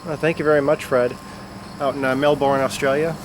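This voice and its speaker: A man's voice: mid-range voice, level tone, brisk. Congested man chatting outdoors, full of fillers. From Chicago area. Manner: rambling, many "uh" and "you know" fillers. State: congested, stuffed up. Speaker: record-collecting vlogger. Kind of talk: casual unscripted chat to camera. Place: outdoors on a summer afternoon. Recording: outdoor insect and traffic noise.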